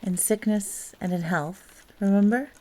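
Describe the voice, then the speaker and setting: A woman playing a gentle, caring princess and wife in an audio drama, speaking softly and tenderly. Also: medium pitch, a little slow, natural voice. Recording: clearly hissy recording.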